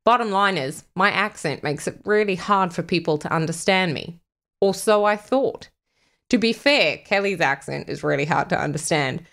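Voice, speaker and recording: A woman's voice: fairly low pitch, fairly bright tone. A woman with a South African and New Zealand accent narrating in a candid voice-over. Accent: mix of South African and New Zealand.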